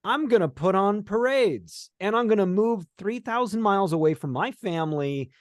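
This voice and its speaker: A man's voice: sits high, expressive, moderate pace, dry recording, studio quality. A man hosting a conversational interview, reflectively summing things up before he asks a question. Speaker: interview host.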